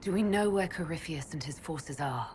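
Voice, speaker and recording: An actress playing a young, skeptical elf mage hero in a fantasy video game, with a dry, pragmatic manner. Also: female voice, low voice, animated, normal speed, a little mellow, slight background noise. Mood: weary, skeptical. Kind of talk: dramatic video game dialogue.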